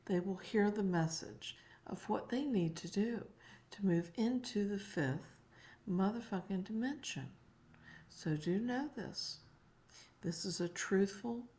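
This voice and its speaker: A woman voicing a folksy, elderly gentleman and former president in an emphatic, deliberate, profane channeled monologue. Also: medium pitch, animated. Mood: insistent, emphatic. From North Carolina, United States. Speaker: spiritual channeler.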